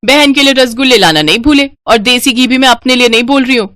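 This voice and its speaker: A woman voicing a sharp-tongued, sarcastic sister-in-law in a cartoon. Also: fairly high pitch, quick pace, no room sound, studio quality, pitched above the performer's own voice, close to an everyday voice. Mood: scolding. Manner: taunting, bossy.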